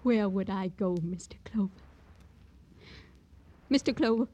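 An actress playing a guarded, plaintive eighteen-year-old working-class girl in a 1950s radio crime drama, speaking in simple, halting phrases. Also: mid-range voice, mellow, highs cut off, lightly acted. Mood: plaintive, sad.

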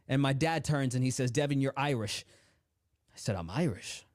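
A young adult man from the United States, a motivational speaker telling his life story in an interview, acting out the voices of his family with big swings in pitch. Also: moderate pace, dry recording, studio quality. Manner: animated storyteller, acts out quoted dialogue with big pitch swings.

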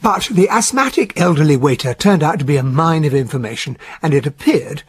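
An actor playing an affable English gentleman, a detective's genteel, easygoing companion, narrating and acting in a radio drama with an English accent. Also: on the high side, expressive, a little fast, breathy edge, no echo. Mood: wry narration.

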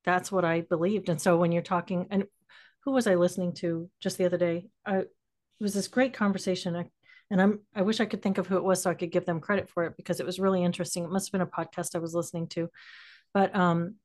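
A woman's voice: fairly low pitch, level tone, fast, darkish tone. A middle-aged American woman in a reflective podcast conversation, searching for words and letting thoughts trail off. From Texas, United States. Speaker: podcast host.

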